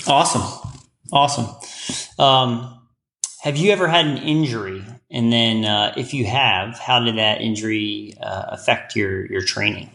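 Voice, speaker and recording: A man hosting a podcast, asking casual interview questions with upbeat, quick affirmations. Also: mid-range voice, fairly even intonation, creaky, bright, forceful tone. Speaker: podcast host.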